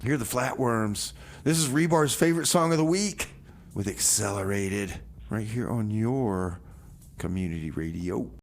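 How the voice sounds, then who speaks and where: A man playing an easygoing, excitable radio host in a doomsday bunker, chatting casually in a rambling, folksy way. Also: on the low side, unhurried, background noise. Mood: enthusiastic. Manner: rambling, folksy, repeats himself. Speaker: community radio music show host. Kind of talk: casual chat with improvised comedy radio theater.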